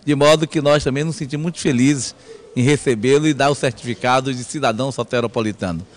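A middle-aged man speaks as an official in a TV interview. His pitch is average. He pays formal tribute into a handheld microphone indoors. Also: fast. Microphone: handheld reporter microphone.

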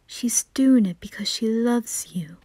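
A woman voicing a composed, deferential male demon butler in an anime ASMR roleplay, polite, measured and hushed. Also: on the high side, no room sound. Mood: calm, reasoning.

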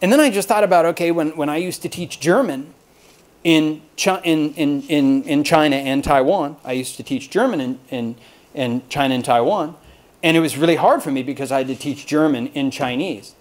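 A man's voice: a little high, moderate pace. An American man from Texas giving an informal, humorous lecture to colleagues, rambling and joking at his own expense. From Austin, Texas, United States. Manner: self-deprecating, rambling, jokey storytelling. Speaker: university lecturer giving a talk.

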